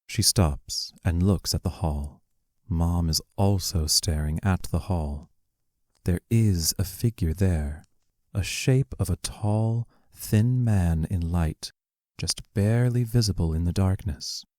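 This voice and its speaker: A man giving scripted narration of stage directions for an audio play in a measured, matter-of-fact way. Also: sits low, darkish tone, dry recording.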